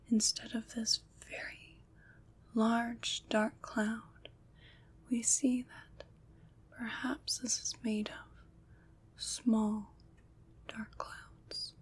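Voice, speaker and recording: Woman playing a slow, whispering, soothing witch. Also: on the high side, full character voice. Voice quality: breathy, unvoiced whisper. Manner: slow, gentle, reassuring, pausing between phrases. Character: kind, soothing witch comforting someone troubled by nightmares. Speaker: ASMR performer. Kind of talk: whispered ASMR roleplay. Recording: clear background noise.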